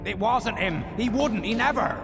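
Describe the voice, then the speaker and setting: An actor playing a frightened, protective big schoolboy in a video game, pleading in ungrammatical speech. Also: male voice, high voice, expressive, moderate pace, gravelly, over loud music. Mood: desperate pleading. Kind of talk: video game cutscene acting.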